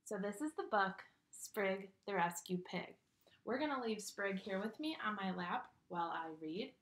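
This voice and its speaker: A woman reading a children's picture book aloud with expressive, dramatic storytelling delivery. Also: mid-range voice, normal speed.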